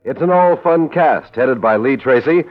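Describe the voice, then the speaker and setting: American old-time radio announcer, muffled sound. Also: male voice, mid-range voice, animated, moderate pace. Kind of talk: 1940s-50s scripted radio announcing.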